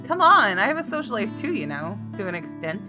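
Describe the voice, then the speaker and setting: A woman's voice: medium pitch, big pitch swings, brisk. A woman game streamer reading visual novel text aloud, dramatically and with playful outbursts. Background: game music with guitar.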